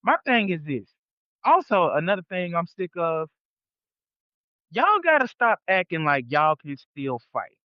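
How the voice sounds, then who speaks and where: High-voiced man in his thirties, blunt. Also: sing-song, unhurried, no echo. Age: 30s. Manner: blunt, emphatic, profane ranting. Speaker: podcast co-host. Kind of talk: casual podcast chat. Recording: dull, dry, lo-fi sound.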